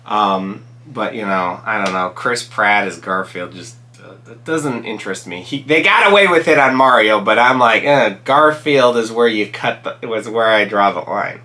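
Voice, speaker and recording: A man hosting his own channel, talking casually through his favorite movies, raspy from a cold and rambling with many ums and restarts. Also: on the low side, expressive, moderate pace, bright, forceful tone. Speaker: channel host reviewing movies. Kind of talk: casual solo commentary.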